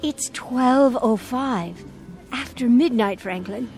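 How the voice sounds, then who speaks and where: An actress playing a timid, anxious wife in a radio drama, deferential and gently pleading. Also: female voice, on the high side, takes it slowly, vocal fry, faint music behind. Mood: worried, coaxing.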